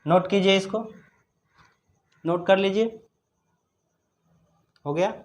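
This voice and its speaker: A man with a noticeably high voice gives a step-by-step lesson. He speaks as a teacher, instructing and repeating each point so students can follow. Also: slow, a little hiss or hum. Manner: repetitive, instructive. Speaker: chemistry teacher. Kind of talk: step-by-step exam problem lesson.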